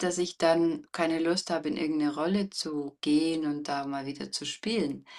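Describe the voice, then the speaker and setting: A woman with a warm voice pitched well below most women's. She explains things reflectively and very slowly, with deliberate pauses, as a coach being interviewed. The recording places her a little away from the microphone. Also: far from the mic. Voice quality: deep, warm. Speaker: interview guest, voice coach and former singer.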